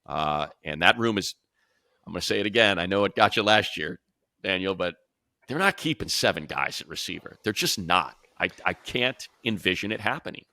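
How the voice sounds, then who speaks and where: A man talks sports as a show commentator in a low voice with a bright, forceful edge. He leans on points emphatically and repeats himself for stress, in a dry, close sound. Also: animated, normal speed, dry recording. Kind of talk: sports talk discussion.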